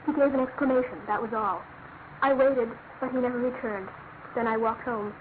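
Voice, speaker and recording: An actress playing an earnest, anguished young British fiancée with an emphatic, halting delivery in a classic radio drama. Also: female voice, on the high side, steady tone, lightly acted. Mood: grieving, pleading. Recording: noisy, narrow-band old radio recording.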